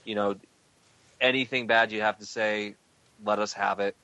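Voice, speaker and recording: A man's voice: lowish voice, fairly even intonation, normal speed, bright, forceful tone, no echo, lo-fi sound. A man hosting a podcast, chatting loosely with a rambling style and many fillers. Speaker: podcast host. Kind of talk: casual podcast chat.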